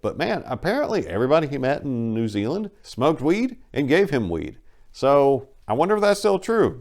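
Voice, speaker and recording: A man's voice: on the low side, vocal fry, a little mellow. A man reading aloud in a wry, conversational storytelling manner, like a casual dramatic audiobook host.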